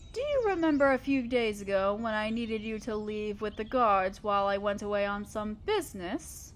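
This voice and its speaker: Woman playing a high-voiced motherly spider queen. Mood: warm, reassuring. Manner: tender, patient, softly explaining. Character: gentle motherly spider queen, loving and thoughtful, adoptive mother. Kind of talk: scripted audio roleplay, addressing the listener.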